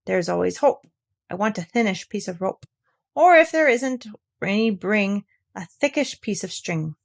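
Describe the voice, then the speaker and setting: A woman's voice: medium pitch, lively intonation, normal speed, vocal fry. A woman voicing a modest, simple male toy bear from a children's storybook, musing and reciting in a sing-song way. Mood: proud reciting. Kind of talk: children's storybook read aloud.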